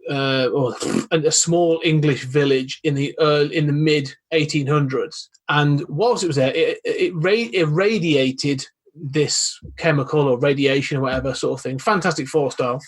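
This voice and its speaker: A man's voice: fairly high pitch, unhurried, breathy edge, low-quality recording. A man who is a guest on a podcast, chatting casually and explaining at length with many restarts. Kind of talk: casual chat.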